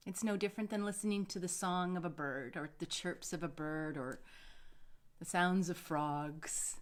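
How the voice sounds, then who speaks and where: A woman speaking off the cuff as a meditation guide, soothing and unhurried, meandering between pauses. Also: lowish voice. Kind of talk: unscripted live talk and guided meditation.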